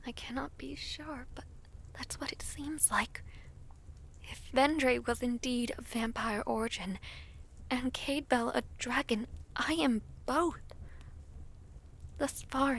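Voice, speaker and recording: A woman playing a gentle, anxious young half-dragon princess in an audio roleplay, speaking softly and formally. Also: a little high, steady tone, slow pace, long pauses, breathy edge, full character voice, rough recording. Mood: hushed, brooding. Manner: soft, pensive, formal old-fashioned speech. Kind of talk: audio roleplay drama acting.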